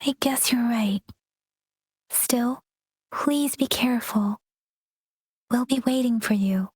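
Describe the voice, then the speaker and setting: A soft-spoken, gentle young guide. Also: female voice, a little high, takes it slowly, slightly rough, no echo. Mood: calm. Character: gentle, polite young woman guide, calm and informative. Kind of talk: game cutscene voice acting.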